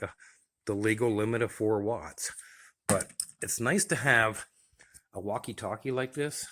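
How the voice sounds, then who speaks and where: A man's voice: on the low side, a bit bright. An American man giving a casual, plain-spoken, folksy demonstration of his gear. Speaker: radio hobbyist presenting his gear.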